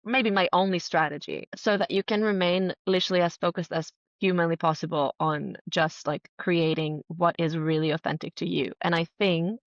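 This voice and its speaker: Earnest low-voiced woman from Greece. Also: steady tone, moderate pace, hardly any pauses, no room sound, muffled recording. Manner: earnest, enthusiastic, frequent emphatic "like" and "really". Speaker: podcast guest, writer and entrepreneur. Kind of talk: casual conversational interview answers.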